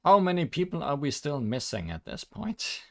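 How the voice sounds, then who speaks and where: A man's voice: a little high, wildly swooping pitch, normal speed, gravelly, pitched above the performer's own voice, heavily acted. A man voicing a haughty young woman Taoist who scolds others with old-fashioned wording, in a fan-dubbed animation. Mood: irritated. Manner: bossy, scolding, archaic wording.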